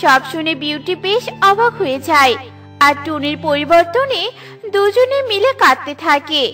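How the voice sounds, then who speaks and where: A woman storyteller narrates a scripted tale for children. Her delivery is that of a cartoon narrator. Music plays clearly behind her voice. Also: extremely high pitch, expressive, normal speed, pushed and bright.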